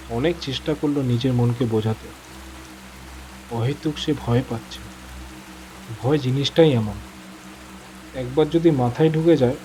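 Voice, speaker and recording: A man's voice: mid-range voice, dark tone, slight background noise, rough recording. A man narrating a horror story in a suspenseful, theatrical, dramatic manner.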